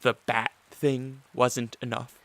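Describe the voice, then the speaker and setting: An actor playing a weary, gloomy vampire count in a radio drama, courteous and self-deprecating, with a halting, formal, dry delivery. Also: male voice, mid-range voice, level tone, background noise.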